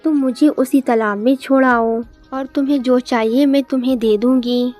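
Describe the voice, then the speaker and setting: A woman's voice: high voice, steady tone, normal speed, darkish tone, soft background music, close to an everyday voice. A woman voicing a generous female talking fish queen in a cartoon story, earnest and promising.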